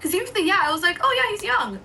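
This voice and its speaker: A woman in a casual podcast chat, offering thoughtful, gentle advice. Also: very high-pitched, very animated, rapid-fire, a little hiss or hum. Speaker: podcast guest.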